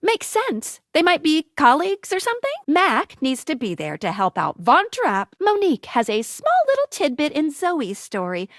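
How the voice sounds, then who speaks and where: A woman's voice: high-pitched, huge pitch swings, normal speed, few pauses, no room sound, clean studio sound, exaggerated voice. A woman voicing a peppy, helpful young female guide in a dating video game, with a bubbly, theatrical, sing-song delivery. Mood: chirpy, helpful. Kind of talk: scripted game hint dialogue.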